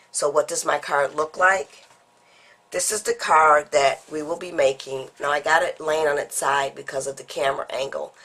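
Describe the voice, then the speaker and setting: A woman's voice: sits low, fairly bright tone. A woman with a congested, stuffy voice, getting over a cold, chatting through a step-by-step papercraft demonstration as host of her channel. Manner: chatty, explains each step while working.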